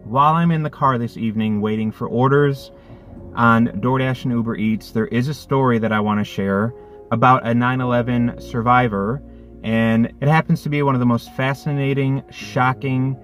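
A man's voice: mid-range voice, steady tone, moderate pace, a bit bright, faint music behind. A man in his thirties, a delivery driver, telling a story earnestly from a parked car, with lots of restarts. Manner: earnest, thoughtful, with many restarts. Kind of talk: casual reflective storytelling. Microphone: phone camera on dashboard.

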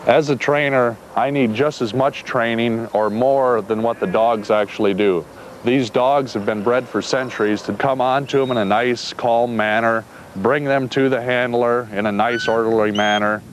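A man from Iowa, a sheepdog trainer, talking calmly and plainly outdoors, with some fillers. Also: medium pitch, moderate pace, a little hiss or hum. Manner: calm, plainspoken, with fillers and restarts. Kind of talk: casual interview talk. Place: outdoors on a farm.

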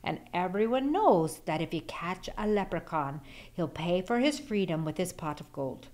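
A woman reading a children's picture book aloud as an expressive storyteller. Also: fairly low pitch, moderate pace.

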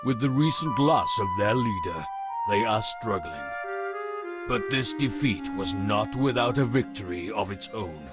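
A man acting as a solemn storyteller, narrating a fantasy video game prologue in a grave, measured, dramatic manner. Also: lowish voice, animated, loud music behind the voice.

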